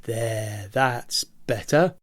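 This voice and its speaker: An amateur actor playing a jaded, agitated prisoner who rants about machines in a theatrical, emphatic way, as in a radio play. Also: male voice, mid-range voice, drawn-out words, noisy background. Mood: wry. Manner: theatrical, emphatic, ranting with repetition.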